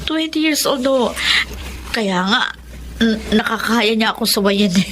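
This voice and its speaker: A woman's voice: a little high, animated, unhurried, fairly bright tone, slight background noise. A woman playing an apologetic, polite single mother in a Filipino radio drama, with a Filipino accent. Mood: flustered.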